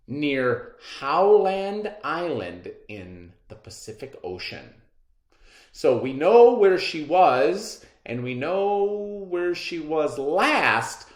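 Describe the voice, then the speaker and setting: A man leads a children's reading lesson, with a pitch that leaps up and down as he explains and reads aloud. He speaks in an encouraging way, at an unhurried pace. The room has a noticeable echo. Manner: animated, encouraging teacher talk to children. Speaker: teacher. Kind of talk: classroom read-aloud lesson.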